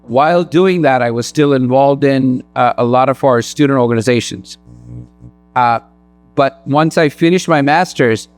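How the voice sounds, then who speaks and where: A man from Sri Lanka speaking as a guest at a mosque, earnest and in quick bursts. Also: mid-range voice, no echo. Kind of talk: personal talk at a community event.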